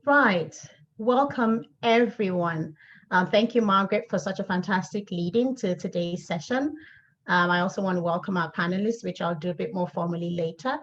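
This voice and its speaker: A woman's voice: lowish voice, moderate pace. A woman from Nigeria co-hosting an online panel, introducing guests in an upbeat, enthusiastic way. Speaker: co-host. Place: video call. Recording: dull lo-fi call audio.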